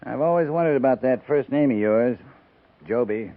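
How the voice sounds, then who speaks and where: A man acting a measured, authoritative American cavalry captain who speaks deliberately and tersely in a 1950s radio drama. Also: mid-range voice, lightly acted. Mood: calm, questioning. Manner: deliberate, terse, thoughtful pauses. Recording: tinny narrow-band 1950s radio recording.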